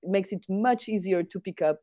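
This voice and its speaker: A middle-aged woman whose first language is Portuguese, speaking slowly with many pauses and repeats. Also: mid-range voice, mellow, dry recording, clean studio sound. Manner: hesitant, many fillers and repeated words. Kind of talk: casual conversation.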